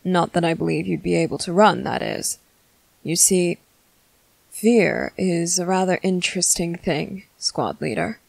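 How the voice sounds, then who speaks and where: A woman voicing a composed, menacing male vampire commander in an ASMR roleplay, speaking formally and deliberately with dramatic pauses. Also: fairly low pitch, vocal fry, no echo. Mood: calm, ominous. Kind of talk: ASMR roleplay monologue to the listener.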